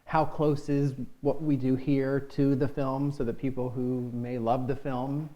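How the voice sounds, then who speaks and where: A man hosting a relaxed, casual interview with a group of guests. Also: medium pitch, measured pace, highs cut off. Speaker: interview host.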